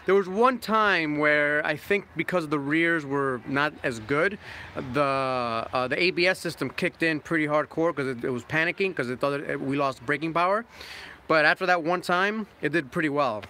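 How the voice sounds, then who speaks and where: Chatty, bright-voiced man in his thirties. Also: on the high side, moderate pace, a little hiss or hum. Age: 30s. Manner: chatty, laughing, loose and rambling. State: loopy from not eating all day. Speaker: car channel host. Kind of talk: casual livestream chat.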